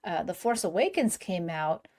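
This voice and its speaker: A woman's voice: fairly low pitch, big pitch swings, moderate pace, darkish tone. A woman hosting a fan podcast, chatting eagerly with her guest and laughing often. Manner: bubbly, laughs often, enthusiastic. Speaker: podcast host. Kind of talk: casual fan interview.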